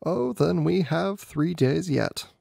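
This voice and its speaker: Guy doing storybook gentleman detective, clipped probing questions, kinda high voice, dry close sound. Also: lively intonation, slow pace, creaky, no echo, exaggerated voice. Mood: brisk, courteous. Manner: inquisitive, clipped, theatrical questioning. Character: keen, unflappable gentleman detective, brisk and probing. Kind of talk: amateur audiobook character reading.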